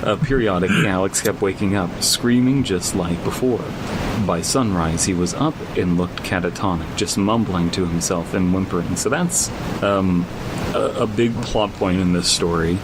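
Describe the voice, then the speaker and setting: Man, low voice, casual podcast banter, comedic read-aloud, noticeable rumble behind. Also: normal speed. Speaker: podcast co-host.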